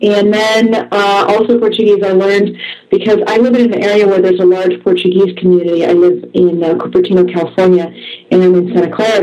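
A woman's voice: fairly low pitch, mellow, lo-fi sound. A woman, a Russian speaker raised in the US, answering interview questions in an eager, chatty way. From former Soviet Union, raised in the US. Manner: eager, chatty storytelling with fillers. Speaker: interview guest, author.

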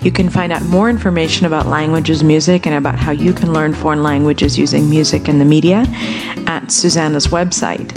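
Woman announcer, lowish voice, steady scripted read, guitar music underneath. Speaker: podcast announcer. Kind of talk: scripted podcast intro. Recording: spoken over clear guitar music.

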